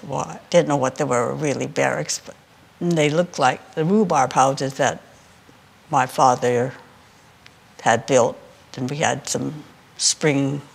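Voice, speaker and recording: An older man recalls his childhood in a slow, reflective way, and his voice is gravelly with a fairly high pitch. He is interviewed indoors.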